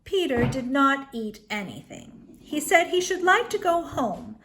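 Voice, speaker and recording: A woman storyteller reading a children's tale aloud expressively, with dramatic pauses and emphasis. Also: sits high, big pitch swings, noisy background.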